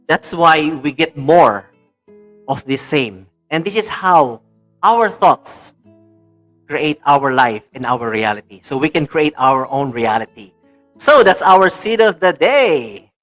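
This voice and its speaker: A man's voice: fairly high pitch, very animated, takes it slowly, a bit bright, faint music behind. A man hosting an online talk show, enthusiastic and repetitive, with many fillers. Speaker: show host.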